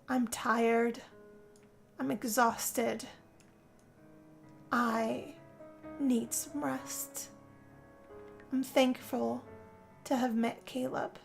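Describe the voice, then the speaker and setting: A woman narrating a role-play story in first person as a lovestruck, weary young heroine, in a theatrical, sighing manner. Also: sits high. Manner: dramatic, breathy sighing delivery. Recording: music under the voice.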